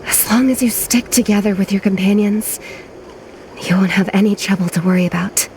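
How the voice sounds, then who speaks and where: A woman's voice: medium pitch, a little fast, darkish tone, a little hiss or hum, close to an everyday voice. A woman voicing a modest, sincere young traveller in a video game, unassuming and thoughtful. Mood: reflective. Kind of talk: video game character acting.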